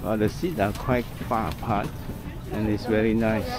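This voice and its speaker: A man's voice: on the low side, fairly even intonation, moderate pace. A middle-aged man casually narrating a travel vlog while walking through a food court, a station and a train, explaining things as he goes. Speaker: travel vlogger.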